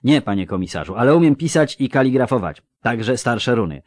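A man's voice: medium pitch, expressive, fairly quick, darkish tone, no room sound, voice pushed up, close to an everyday voice. A prim, well-read young man as a male reader puts him on, precise and faintly superior in tone. The voice sits dry and close to the mic. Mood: earnest.

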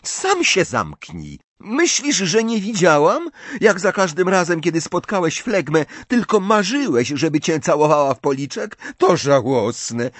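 Man voicing a high-pitched, fiery teenage girl. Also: fairly bright tone, no echo, full character voice. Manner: taunting, emphatic. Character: fiery, defiant teenage girl mocking her older brother.

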